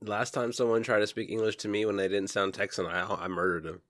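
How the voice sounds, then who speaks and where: A man co-hosting a podcast in casual chat, dropping crude jokes in a deadpan way. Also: on the low side, quick pace, no room sound, clean studio sound. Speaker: podcast co-host.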